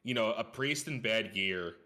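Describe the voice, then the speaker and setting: Male podcast guest, lowish pitch with a bright, forward edge, informal gamer talk. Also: measured pace. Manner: informal, often seeking agreement with "right?". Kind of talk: casual gaming podcast chat.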